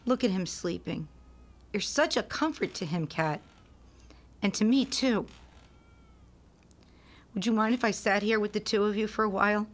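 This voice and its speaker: An actress playing a tender, fragile young mother of a sick newborn in a radio drama, earnest and expressive. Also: medium pitch, normal speed, long pauses, close to an everyday voice. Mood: tender, grateful.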